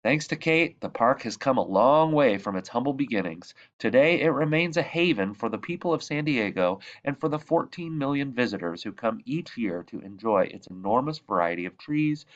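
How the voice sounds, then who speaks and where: A man reading a children's book aloud as a narrator, with measured, expressive phrasing and emphasis on key words. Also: mid-range voice, lo-fi sound. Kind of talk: read-aloud storybook narration.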